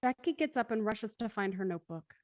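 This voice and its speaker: A woman's voice: medium pitch, animated, brisk, darkish tone, no room sound, studio quality. A woman narrating scripted stage directions for a drama.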